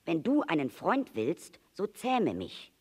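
A reader voicing an earnest, wistful storybook fox, very animated. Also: medium pitch, slow, husky, pitched above the performer's own voice, exaggerated voice. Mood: pleading. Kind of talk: storybook character reading.

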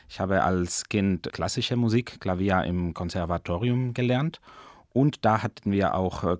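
This Spanish-accented man in his forties speaks German as a second language, earnest and enthusiastic. His voice sits somewhat low and he takes his time, unhurried and deliberate. The sound is close and dry, with no room echo. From Spain. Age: 40s. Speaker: German teacher. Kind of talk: personal portrait interview.

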